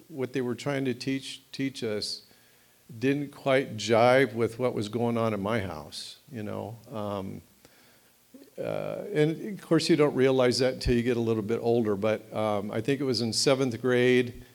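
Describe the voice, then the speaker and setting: A middle-aged man telling his life story at a recovery meeting, halting and full of fillers and restarts. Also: mid-range voice, vocal fry, darkish tone. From United States. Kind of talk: personal testimony at a meeting.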